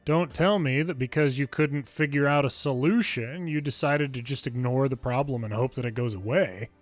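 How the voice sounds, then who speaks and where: A man reading a game script aloud in an expressive, deliberate and dramatic way. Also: mid-range voice, faint music behind. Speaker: longplay video maker reading game dialogue.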